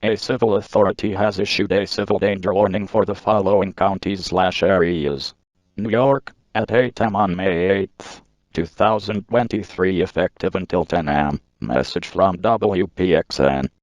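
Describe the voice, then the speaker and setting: A deep male synthetic voice reads a civil warning. It is formal and mechanical, with a completely dry sound. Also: moderate pace, no room sound, muffled recording. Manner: formal, mechanical text-to-speech delivery. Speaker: emergency alert announcer. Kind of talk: scripted civil warning readout.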